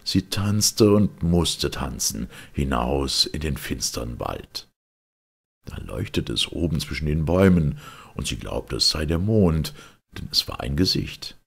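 A man narrating a fairy tale as an expressive audiobook reader, with a gravelly, very husky voice. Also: extremely low pitch, measured pace.

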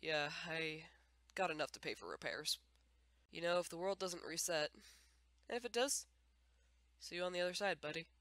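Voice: mid-range voice, lively intonation, normal speed, long pauses, fairly bright tone, lightly acted. A performer voicing a tired, dry-humored male skeleton with a casual, hesitant manner and a bitter edge in a comic dub. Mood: sheepish, resigned. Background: music.

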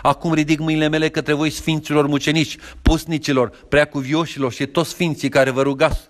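Man reading prayers in a flat monotone. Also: on the high side. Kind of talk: reading an Orthodox penitential prayer.

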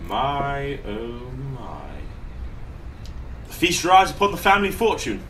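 An actor playing a pompous, inept posh British brother in a radio comedy, grandiose and formal but hesitant. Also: male voice, mid-range voice, very animated, takes it slowly, fairly bright tone, slight background noise. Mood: pompous, rueful. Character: pompous, inept posh brother running a failing antique shop.

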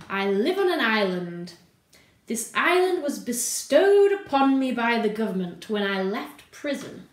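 A woman voicing an eccentric, vain, old lady in a theatrical, deliberate dramatic story reading. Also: medium pitch, far from the mic. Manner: theatrical, deliberate, drawn-out delivery. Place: indoor room at home. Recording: roomy home sound.

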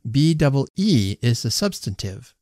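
Guy doing a fussy storybook mum, proud but quick to correct. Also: mid-range voice, sing-song, a little mellow, no room sound, studio quality. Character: proud, correcting mother of a small boy. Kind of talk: storybook character voice.

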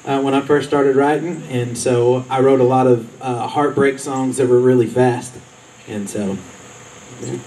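A man's voice: mid-range voice, fairly even intonation, a little slow, warm tone. An easygoing man, answering politely and without hurry. His voice is caught from a distance on an outdoor stage, with a faint hum behind it. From East Texas. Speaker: songwriter contestant.

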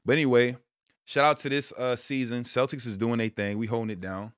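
A man in his thirties from Brooklyn, hosting a casual sports talk stream from a small room at home, switching between loud hype and low muttered asides. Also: flat delivery, brisk, no echo, studio quality. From Brooklyn, New York. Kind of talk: casual monologue to viewers.

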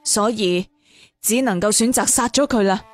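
Man putting on a high-voiced young gentleman. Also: moderate pace, soft background music, lightly acted. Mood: calm, regretful. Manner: light, composed, reasoning. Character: calm, smiling young nobleman martial-arts hero.

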